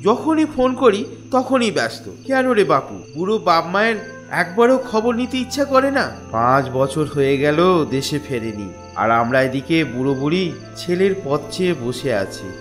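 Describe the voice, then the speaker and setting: Man playing a high-voiced fatherly old man. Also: big pitch swings, moderate pace. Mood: hurt, plaintive. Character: elderly fatherly man, proud, sentimental, exasperated. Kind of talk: comedy cartoon voice acting. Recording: faint music behind.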